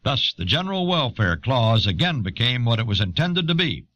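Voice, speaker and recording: A man reading scripted historical narration in a measured, authoritative manner. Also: medium pitch, big pitch swings, a bit bright, no room sound. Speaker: documentary narrator. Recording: dull old audio cassette.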